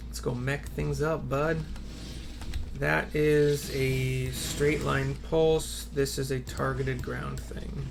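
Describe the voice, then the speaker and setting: A man's voice: mid-range voice, level tone, slow pace, gravelly, background noise. A man giving casual let's play commentary, slangy and profane, thinking aloud as he plays. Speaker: gaming video host.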